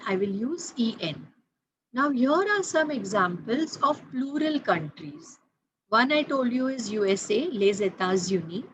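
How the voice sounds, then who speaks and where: A woman's voice: medium pitch, a little hiss or hum, poor audio. A woman, teaching French grammar patiently, repeating key points and spelling out letters. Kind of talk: explaining grammar to students.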